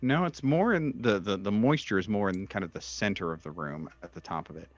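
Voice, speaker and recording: Deep-voiced man haltingly running a horror game. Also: animated, no room sound, dull audio. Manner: halting, tentative descriptions. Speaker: game master. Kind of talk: improvised narration of a tabletop horror game.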